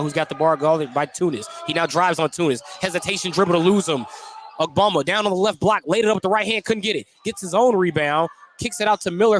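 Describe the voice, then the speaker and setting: Give me a male play-by-play basketball announcer with a higher voice, live in a gym with some background noise. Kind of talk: live basketball radio commentary. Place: indoor basketball gym, courtside. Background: gym noise, bouncing basketball.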